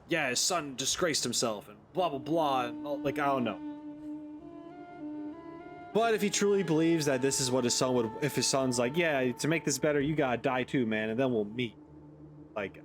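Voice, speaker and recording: A man hosting a gaming video, giving casual playthrough commentary and rambling as he thinks aloud. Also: medium pitch.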